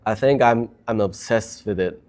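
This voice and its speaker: A man's voice: lowish voice, normal speed, a little mellow. A man from Japan, a native Japanese speaker with a Japanese accent, chatting casually and enthusiastically as a guest. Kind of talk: casual chat.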